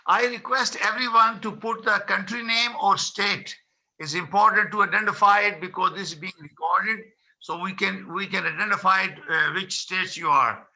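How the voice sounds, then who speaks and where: Bright, high-pitched man hosting an online meeting. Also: steady tone, moderate pace, poor audio. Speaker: host of an online conference. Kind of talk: moderating a video meeting. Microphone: video call.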